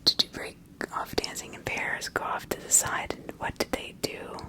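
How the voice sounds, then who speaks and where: A woman's voice: moderate pace, natural voice. Woman acting a whispering, insistent witch-trial magistrate. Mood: accusing. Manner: interrogating, insistent, repeating questions. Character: stern, probing magistrate questioning accused witches. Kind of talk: whispered ASMR roleplay.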